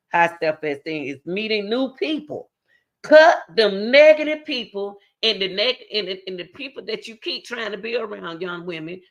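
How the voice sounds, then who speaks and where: A middle-aged woman, hosting her own channel and giving a preachy, repetitive motivational talk straight to her viewers. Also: medium pitch. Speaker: channel host.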